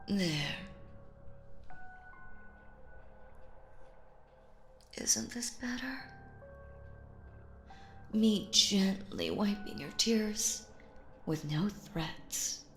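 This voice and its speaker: A woman playing a possessive, sinister stage magician in a roleplay audio drama, coaxing theatrically and slipping into breathy whispers. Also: medium pitch, echoey room. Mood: tender, possessive. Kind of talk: roleplay audio drama, intimate hypnotic monologue.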